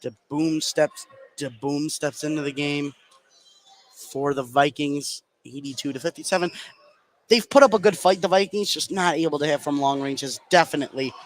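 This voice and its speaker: A man's voice: fairly high pitch, normal speed. A man calling live basketball play-by-play as the announcer in an indoor gym. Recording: clear crowd and gym ambience noise.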